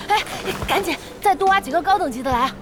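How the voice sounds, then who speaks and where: A woman's voice: very high voice, lively intonation, moderate pace, over music. A woman playing a perky young gamer girl in an audio drama, cheeky, eager and teasing. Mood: admiring, teasing. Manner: bubbly, teasing. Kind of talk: Chinese audio drama acting.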